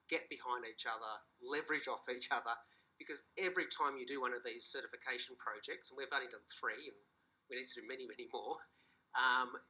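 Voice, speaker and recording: Bright, urgent man at a conference. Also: medium pitch, rough recording. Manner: earnest, urging, with fillers. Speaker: panelist, industry body head. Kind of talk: conference panel remarks. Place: conference hall panel.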